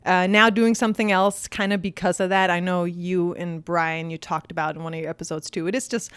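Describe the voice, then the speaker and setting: A woman's voice: on the low side, little pitch movement, fairly quick, a bit bright, smooth, no room sound, studio quality. A woman from Denmark with a Danish accent, chatting casually as a podcast guest with many fillers and easy laughs. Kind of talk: casual chat.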